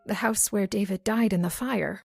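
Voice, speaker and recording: An actress playing an anxious, frightened widow in an old-time radio horror drama, agitated and given to exclaiming. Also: female voice, medium pitch, moderate pace, gravelly, darkish tone, husky, no echo, clean studio sound. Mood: anxious, haunted.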